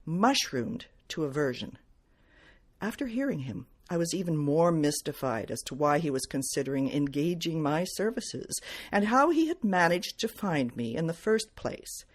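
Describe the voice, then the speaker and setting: Low-key woman reading detective fiction. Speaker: author reading from own novel. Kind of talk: audiobook-style reading of first-person detective fiction.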